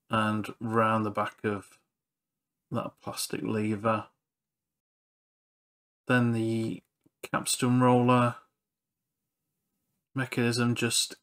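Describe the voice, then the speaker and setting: Low-key man with slow, flat delivery. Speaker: repair channel presenter. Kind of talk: hands-on repair walkthrough narration.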